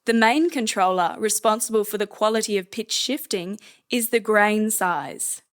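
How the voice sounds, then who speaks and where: A woman narrating a scripted software tutorial in a measured, explanatory manner. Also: mid-range voice.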